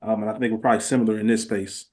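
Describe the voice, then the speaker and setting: A man's voice: lowish voice, flat delivery, racing, darkish tone. An American man speaking earnestly in a casual podcast discussion, repeating phrases for emphasis. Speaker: podcast panelist.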